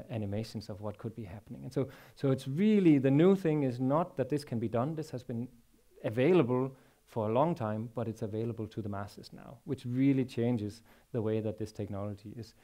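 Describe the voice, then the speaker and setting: A man in his forties with a Danish accent giving a technical conference talk on a large stage, halting and repeating words often. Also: mid-range voice, darkish tone. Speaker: conference speaker.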